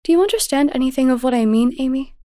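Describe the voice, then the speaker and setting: Storybook mother, played by a woman: gentle and devout, measured teaching manner, raised pitch, dry recording. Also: no room sound, close to an everyday voice. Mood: patient, instructive. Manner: tender, measured, instructive.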